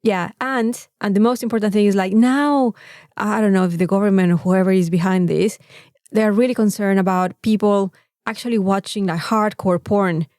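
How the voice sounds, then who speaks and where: A Mexican-accented woman talks casually as a podcast co-host, her voice at an ordinary middle pitch. She rambles informally and swears freely. The sound is dry, with very little room echo. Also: fairly even intonation, moderate pace, darkish tone. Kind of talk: casual chat.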